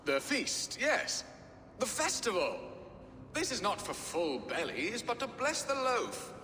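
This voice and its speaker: An actor playing a foppish, theatrical middle-aged lord who speaks in florid, pompous phrases in a video game. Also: male voice, high voice, huge pitch swings, slow, sharp tone, exaggerated voice. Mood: placating, pompous. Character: foppish, flowery middle-aged English lord, easily flustered. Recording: reverberant hall, faint background noise.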